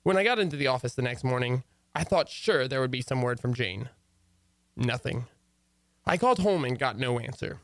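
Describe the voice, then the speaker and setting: A man's voice: medium pitch, expressive, moderate pace, fairly bright tone, no room sound. A man playing an exasperated, sardonic husband who narrates his own marital troubles in an old-time radio comedy. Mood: wry narrating.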